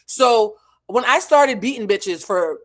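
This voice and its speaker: A woman's voice: a little high, lively intonation, slow pace. An American woman from New England giving an opinionated recap as an online host, blunt, profane and emphatic, repeating her points for effect. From New England, United States. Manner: blunt, heavily profane, emphatic with rhetorical repetition. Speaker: online show host and emotional intelligence teacher. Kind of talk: opinionated reality show recap to camera.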